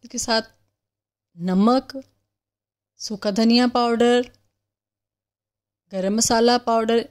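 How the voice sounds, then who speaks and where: Calm woman narrating a recipe. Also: on the high side, takes it slowly. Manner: calm step-by-step instructions. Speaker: cooking channel host.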